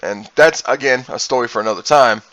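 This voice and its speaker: A man's voice: mid-range voice, fairly quick, fairly bright tone, no room sound. A man, a streamer, telling a personal story casually in a rambling way, acting out bits of dialogue as he goes. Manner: rambling, acts out quoted dialogue, mild profanity.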